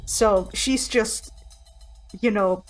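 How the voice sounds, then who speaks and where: A woman speaks slowly over background music as a player in a tabletop roleplaying game. She tells her character's story casually, musing and pausing to think. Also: medium pitch.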